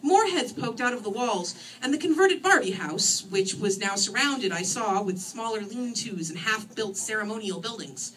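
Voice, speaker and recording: A woman reading her first-person novel aloud in an expressive, theatrical and wry manner. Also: mid-range voice, normal speed, few pauses, a little hiss or hum. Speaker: author reading own novel. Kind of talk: animated first-person story reading to an audience. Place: screening room, live reading. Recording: distant microphone in a room.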